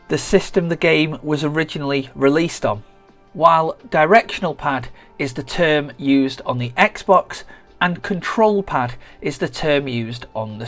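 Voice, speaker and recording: A gaming channel host narrates a scripted video essay at a middling pitch. The delivery is measured and explanatory, point by point. Music plays behind the voice. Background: background music.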